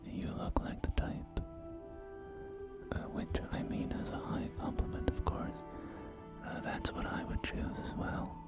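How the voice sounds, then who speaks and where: Wasteland realtor male performer, courteous and salesy, slow creaky whisper for ASMR roleplay, music underneath. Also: natural voice. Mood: courteous, pleased. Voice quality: breathy whisper. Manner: courteous, gently salesy, hesitant pauses. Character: polite, salesy post-apocalyptic real estate agent. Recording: soft game music behind. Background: music and game audio.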